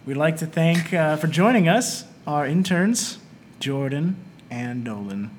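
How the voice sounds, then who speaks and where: A man's voice: fairly high pitch, expressive, measured pace. A man hosting a casual group podcast, chatting with the others. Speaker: podcast host. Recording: background noise, some room ambience.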